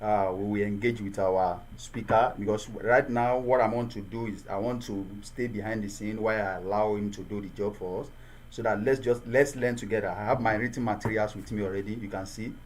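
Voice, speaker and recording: A man's voice: on the low side, steady tone, a little fast, a little hiss or hum. A man hosting a virtual conference over a video call, earnestly telling the audience what to do. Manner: earnest, gives the audience instructions. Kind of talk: casual hosting, addressing the audience.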